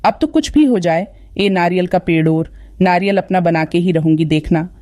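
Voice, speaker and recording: A woman's voice: fairly low pitch, normal speed, warm tone, dry recording, clean studio sound, close to an everyday voice. A woman playing a greedy, quarrelsome village wife in a cartoon story, with a dramatic scolding delivery. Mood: angry, scheming.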